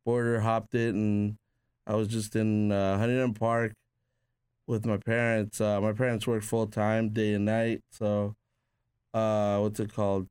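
A man's voice: lowish voice, flat delivery, unhurried, a little mellow, clean tone, no echo, studio quality. A man born in Peru, a tattoo artist guest, casually telling rambling stories full of "like" and restarts. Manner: rambling, filler-heavy with frequent "like". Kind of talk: casual conversational storytelling.

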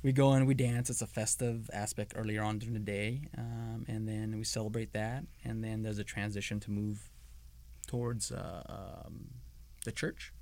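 A man's voice: on the low side, little pitch movement, normal speed, a little mellow. A middle-aged man from Iran answering interview questions in a hesitant way, with many ums and restarts.

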